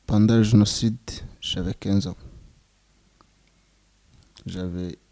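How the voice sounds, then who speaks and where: A Rwandan man in his twenties giving solemn, measured testimony as a genocide survivor. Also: on the low side, steady tone, dark tone, dry recording. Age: 20s. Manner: slow, grave, measured phrasing.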